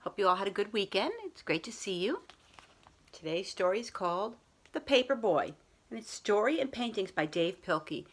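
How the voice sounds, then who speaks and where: A woman's voice: medium pitch, animated, moderate pace. A woman reading a picture book aloud as a school librarian, talking to young children in simple, explanatory phrasing.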